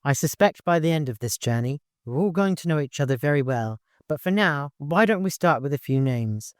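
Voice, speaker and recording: A man's voice: a little high, expressive, brisk, no room sound, clean studio sound, close to an everyday voice. Man voicing Eliezer, commanding, wry expedition leader. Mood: genial, probing.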